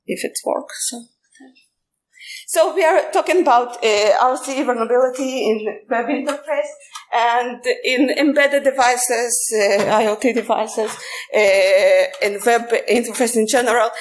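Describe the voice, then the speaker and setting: A woman with a fairly high voice presents a technical talk at a conference. Her delivery is informal and explanatory, broken up by frequent hesitations and filler sounds as she works through each step. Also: a little slow, poor audio. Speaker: conference speaker.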